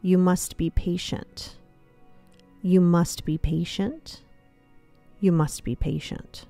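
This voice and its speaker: A woman voicing a patient male squirrel who guides a listener up a mountain in a guided meditation, speaking slowly and calmly. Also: fairly high pitch, dry recording, soft background music. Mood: calm advice.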